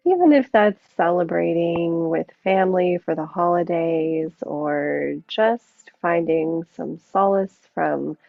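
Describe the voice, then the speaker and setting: A woman yoga teacher gently guiding a relaxation practice, unhurried and leaving long pauses between phrases. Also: on the low side, gravelly, no echo. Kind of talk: guided yoga and relaxation instruction. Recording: muffled narrow phone-like sound.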